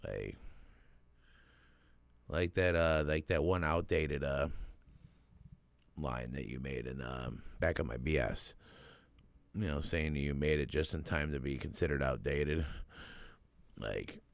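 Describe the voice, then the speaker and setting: A man's voice: a bass voice, slow pace, vocal fry, pauses often, no echo. A man hosting a music reaction video, talking casually and profanely with lots of fillers. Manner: profane, laid-back, frequent fillers. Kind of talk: casual album reaction commentary.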